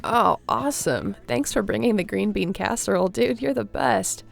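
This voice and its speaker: Woman playing a creaky-voiced, laid-back surfer girl. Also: medium pitch, normal speed, faint music behind. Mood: cheerful, hospitable. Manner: laid-back surfer slang, chuckling, welcoming. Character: friendly, easygoing young surfer girl hosting a holiday dinner. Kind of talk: audio roleplay, casual chat to the listener.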